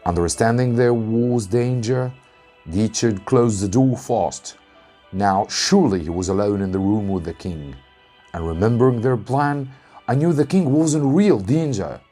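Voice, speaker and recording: A man from Egypt, an English teacher, reading a story aloud with deliberate, dramatic emphasis. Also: on the low side, mellow, soft background music.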